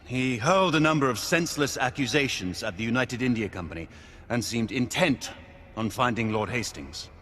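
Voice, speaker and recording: A man playing a loyal knight commander in a video game, speaking formally, with measured diplomacy. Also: mid-range voice, lively intonation, a bit bright, natural voice. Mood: measured, regretful. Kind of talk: period drama game acting. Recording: reverberant hall with background ambience noise.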